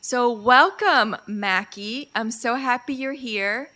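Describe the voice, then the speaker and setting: A woman's voice: a little high, slow pace. Bubbly woman podcast host. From Chile. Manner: bubbly, laughs often, animated storytelling. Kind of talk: casual interview chat.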